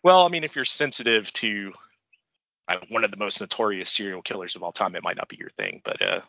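Sniffly, joking man, bright and dry. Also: fairly low pitch, big pitch swings, fairly quick, creaky, no room sound, muffled recording. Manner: laid-back, joking, swears a lot, laughs often. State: congested, recovering from flu. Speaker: podcast co-host. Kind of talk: casual podcast chat.

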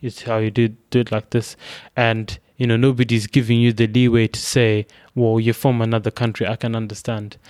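A man's voice: medium pitch, level tone, brisk, darkish tone, no echo. Thoughtful young Zimbabwean man, dry sound. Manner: thoughtful, frequently restarting phrases. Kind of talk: reflective personal account.

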